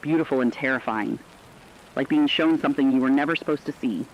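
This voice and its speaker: A man's voice: medium pitch, fairly quick, lo-fi sound, natural voice. A man playing a solemn, reflective radio correspondent who tells human stories of disaster in a scripted, personal narrative style.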